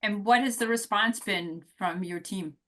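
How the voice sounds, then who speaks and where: A woman's voice: mid-range voice, unhurried, bright, forceful tone. A woman moderating a panel discussion over a video call, asking questions in a friendly, slightly hesitant way.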